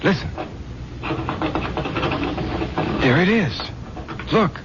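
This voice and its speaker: A man's voice: high voice, lively intonation, measured pace. An actor playing a jittery, easily horrified subordinate man in a radio drama. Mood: alert, uneasy. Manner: nervous, breathless alarm. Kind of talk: 1970s radio drama acting. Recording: dull old radio recording, faint noise.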